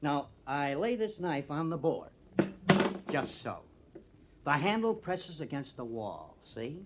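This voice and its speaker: A man's voice: on the high side, very animated, slow, noisy background. An actor playing a cunning, bitter artist who frames his rival, with theatrical, taunting intensity, in old-time radio drama style. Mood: smug, scheming. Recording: dull, lo-fi old radio recording.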